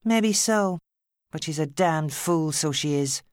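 A woman's voice: low voice, slow pace, no echo, clean studio sound, pitched above the performer's own voice. A woman voicing an outspoken, protective middle-aged Irish woman in an audiobook, scolding and forthright. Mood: exasperated. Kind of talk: audiobook character acting.

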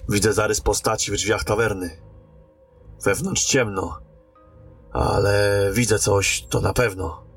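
Man playing a measured, cautious knight captain. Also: on the low side, steady tone, soft background music. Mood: grave, watchful. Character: dutiful, cautious male captain of royal knights. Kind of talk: fantasy radio drama acting.

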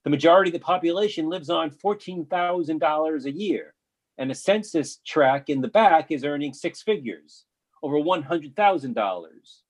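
A man's voice: fairly high pitch, animated, moderate pace. An actor playing an earnest male storm survivor in a readers theatre monologue, declaiming his lines.

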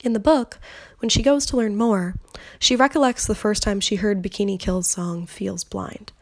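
A woman in her thirties talks through her solo podcast with a gravelly, creaky edge to her voice. She is candid and loose, drops the odd swear word, and sounds slightly stuffed up from a cold. The recording is close and dry, with no room sound. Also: mid-range voice, fairly even intonation, moderate pace, darkish tone, dry recording. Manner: candid, swears freely, conversational. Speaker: podcast host. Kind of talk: casual solo podcast monologue.

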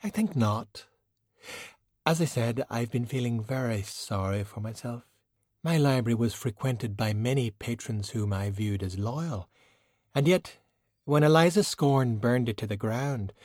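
A male audiobook reader performs a refined, silky woman of great poise. The words come measured and formal, with wry, proud self-pity. The recording is dry, with no room sound. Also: mid-range voice, a little mellow, no echo, natural voice. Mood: composed, wry. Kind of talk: audiobook character dialogue.

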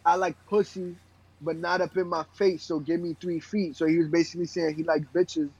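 A man's voice: fairly high pitch, little pitch movement, a little fast, vocal fry, a little mellow, husky. A young man with a Brooklyn accent chatting casually in slangy, profane, emphatic talk. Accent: Brooklyn, New York. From Brooklyn, New York. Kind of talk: casual chat. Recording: slightly dull, lo-fi voice audio.

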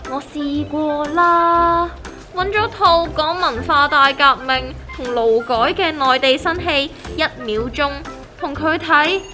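A woman's voice: sits high, slow pace, bright, forceful tone, faint music behind, heavily acted. A woman with a Hong Kong Cantonese accent playing a gloomy, aggrieved young worker who whines and complains in a comedic audio drama. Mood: resigned griping. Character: gloomy, aggrieved young woman worker griping about her strict grandpa.

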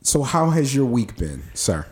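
A man's voice: mid-range voice, sing-song, unhurried, a little mellow. A young man co-hosting a podcast, chatting casually with many fillers and restarts. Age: young adult. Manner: rambling, lots of fillers and restarts. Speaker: podcast co-host. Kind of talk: casual chat.

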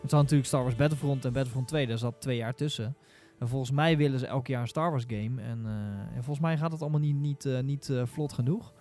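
A man on a casual gaming podcast, explaining things in a hesitant, halting way. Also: mid-range voice, a little mellow, soft background music. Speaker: podcast panelist.

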